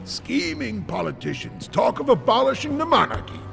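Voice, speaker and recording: An imperious emperor in a game cutscene. The voice is high and creaky, swinging dramatically in pitch, and rings through a long hall reverb over music. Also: male voice, huge pitch swings, moderate pace, heavily acted. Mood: indignant, urgent. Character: imperious, theatrical German monarch, mature man.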